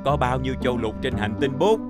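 A man voicing a patient older male chalk-stick schoolteacher in a children's cartoon, measured and instructive. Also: mid-range voice, over loud music, close to an everyday voice. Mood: instructing. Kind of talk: animated children's cartoon dialogue.

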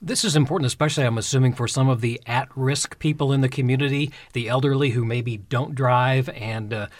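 A male radio host asks his guest plain, measured questions. His voice sits at a mid pitch. The recording is dry and close. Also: fairly even intonation, no room sound.

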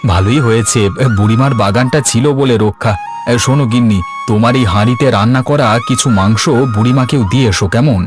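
Guy doing village dad in a cartoon, placating, medium pitch, music going behind. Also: fast, close to an everyday voice. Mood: concerned. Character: mild, placating village husband and father. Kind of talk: Bengali cartoon voice acting.

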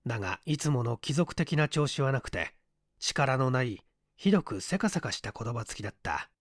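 A man's voice: medium pitch, very animated, normal speed, dry recording, studio quality. A man narrating a literary mystery story as a dramatised audiobook reading. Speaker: audiobook reader, small-theatre stage actor.

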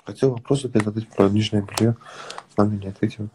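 A man in his twenties with a slight Dagestani accent, chatting casually with callers on a phone livestream from a sofa at home, relaxed and teasing. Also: on the low side, fast, slight background noise. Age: 20s. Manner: relaxed, teasing, mumbles at times. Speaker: livestream host. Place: small room at home, on a sofa, indoors. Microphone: phone front camera held close.

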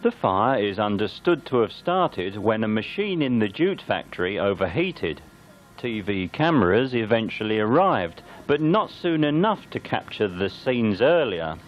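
A man's voice: fairly low pitch, very animated, measured pace, slight background noise. A man narrating a local amateur newsreel from a script. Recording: band-limited, dull lo-fi videotape sound.

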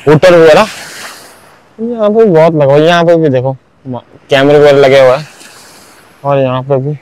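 A man's voice: fairly high pitch, steady tone, normal speed, a little mellow, smooth, no room sound, rough recording. A young man narrating a casual walking tour of a beach at sunrise, chatty, with lots of filler words. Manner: chatty, keeps saying "bhai" and "matlab". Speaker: vlogger.

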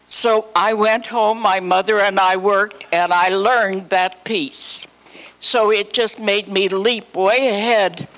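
Slow-talking elderly woman telling memories. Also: fairly low pitch, fairly bright tone, low-quality recording. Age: 80s or older. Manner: chatty, anecdotal, acts out quoted dialogue. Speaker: guest speaker, former child actress. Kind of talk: reminiscing to a live audience. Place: hotel meeting room, indoors. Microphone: handheld microphone on PA.

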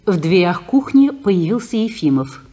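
Woman narrator, 1950s radio play, husky, lively intonation, brisk. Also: medium pitch. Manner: brisk, matter-of-fact stage directions.

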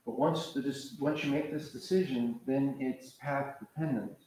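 A man's voice: medium pitch, normal speed, darkish tone, a little hiss or hum. Older man lecturing in an echoing hall. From American Pacific Coast. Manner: conversational, digressive, gestures while pacing. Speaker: professor leading a master class. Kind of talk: lecture to a seated audience. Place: large lecture hall with red seats. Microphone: far camera.

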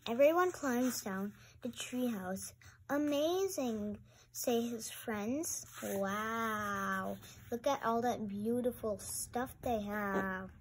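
A woman reading a picture book aloud and adding chatty, exclamatory comments in a halting way. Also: fairly high pitch. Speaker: read-aloud host. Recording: noisy amateur home recording.

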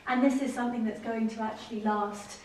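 A woman's voice: on the high side, steady tone, fairly quick, darkish tone. A young woman presenting a house tour vlog in an effusive, enthusiastic manner. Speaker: lifestyle vlogger. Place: large rooms inside a house. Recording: roomy, distant camera mic, noisy.

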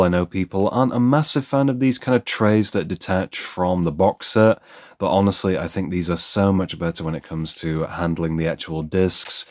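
A man giving a candid, conversational voice-over for an unboxing review, sharing his opinions as he goes. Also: deep voice.

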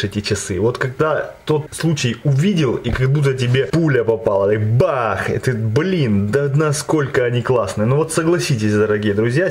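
A man with a hoarse, mid-pitched voice talks through a watch unboxing in an eager, rambling, slangy way. He is recorded indoors on a camera mic. Faint room noise sits behind him. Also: darkish tone. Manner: chatty, enthusiastic, slangy and digressive. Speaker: watch reviewer. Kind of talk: casual unboxing review.

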